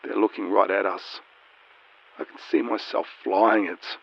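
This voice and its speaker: A man playing a frightened, despairing airline pilot, shaky, halting and hushed over a muffled radio in a horror story. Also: on the low side, steady tone, gravelly. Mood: hollow dread. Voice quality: hushed, shaky, near-whispering. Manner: halting, stammering. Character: terrified, stammering male airline pilot, trapped and despairing.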